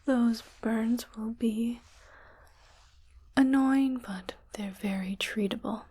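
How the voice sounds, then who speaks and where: A woman playing a calm, reassuring spaceship doctor in a soft, breathy ASMR whisper, speaking gently and soothingly. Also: on the high side, takes it slowly. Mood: calm, clinical. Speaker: ASMR roleplayer. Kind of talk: soft ASMR medical roleplay.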